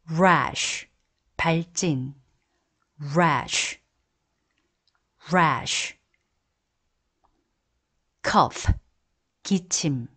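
A woman teaching English vocabulary as a voice-over, saying each word and repeating it clearly in steady word drills. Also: sits low. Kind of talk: slow, deliberate word drills.

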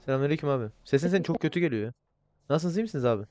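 Fast-talking, foul-mouthed man trolling in a game. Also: on the high side, lively intonation, a little mellow, no room sound. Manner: crude, swearing heavily, provocative, switching to mock politeness. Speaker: video creator playing an online roleplay game. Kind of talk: casual gaming banter, trolling other players.

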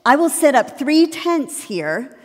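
A middle-aged woman pastor reading the gospel from a pulpit in a measured, deliberate way. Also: high-pitched, sing-song, darkish tone. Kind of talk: slow liturgical gospel reading. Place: large church sanctuary. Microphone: pulpit microphone. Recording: long church reverb.

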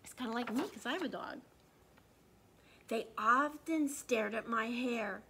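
A woman's voice: on the high side, a little slow, a bit bright, husky. A woman in her sixties reading aloud to children at storytime, expressively, putting questions to them as she goes. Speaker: children's librarian storytime host. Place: small indoor room.

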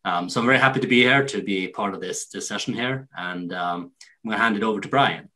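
Halting man from Norway, bright voice. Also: lowish voice. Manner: hesitant, many ums and restarts. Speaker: host of a hunting webinar. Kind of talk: casual video call introduction. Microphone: video call.

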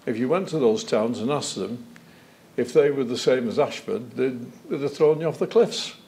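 A man's voice: mid-range voice, moderate pace. An older man, a former police chief, telling anecdotes as a witness at an evidence session in a lecture theatre. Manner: anecdotal, animated hand gestures. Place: lecture theatre, seated at a desk.

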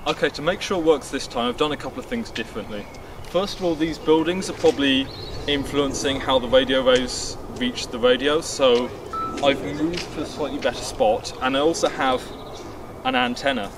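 A man's voice: mid-range voice, expressive, moderate pace, roomy sound. A young man presenting a hobby video in a casual, explanatory style to camera. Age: young adult. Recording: clear background noise, some outdoor ambience.

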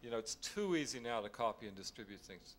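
A middle-aged man speaking as a panelist in a hotel conference room, conversational and quick to push back, into a table mic. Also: medium pitch, lively intonation, slight background noise. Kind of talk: panel discussion. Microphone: table mic on a stand.

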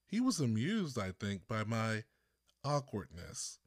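Slow, measured male storyteller, dry close sound. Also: on the low side, huge pitch swings, dry recording. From Texas, United States. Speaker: author reading own audiobook. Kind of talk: scripted first-person narration.

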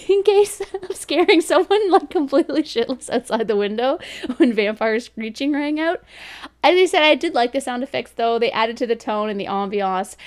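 A woman in her thirties giving a chatty, casual book review from a room at home, laughing often between thoughts. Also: a little high. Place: home room with bookshelves, indoors. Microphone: studio mic beside her on desk.

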